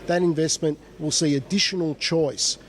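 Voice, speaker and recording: Guy giving an official statement outside, a bit high pitched, hesitant, faint traffic noise. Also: fairly even intonation, normal speed. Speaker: interviewee giving announcement. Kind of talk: formal on-camera interview statement.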